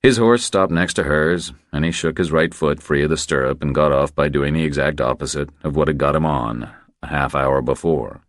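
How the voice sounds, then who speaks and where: A man with an exceptionally low, deep voice narrates a thriller audiobook. His reading is measured and deliberate, and the recording is dry and close. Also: steady tone, no room sound. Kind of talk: scripted narration of crime thriller.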